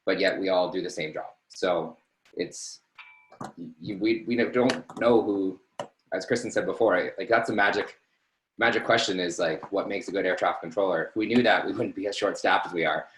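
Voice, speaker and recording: A man's voice: low-pitched, normal speed, slight background noise. A man talking casually as a panelist on a webinar. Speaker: air traffic controller, panelist. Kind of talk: casual unscripted panel answers. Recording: roomy echo on webinar call.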